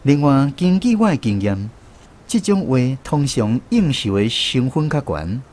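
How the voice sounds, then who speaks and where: A man's voice: mid-range voice, big pitch swings, unhurried, darkish tone. A man with a Taiwanese accent narrating a scripted first-person story for radio. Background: instrumental music.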